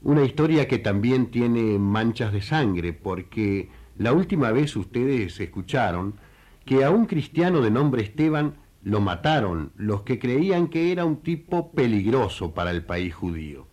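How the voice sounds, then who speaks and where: A man's voice: medium pitch, very animated, measured pace, a little mellow. A man hosting and narrating a radio story, talking to listeners conversationally as friends. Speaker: host and narrator.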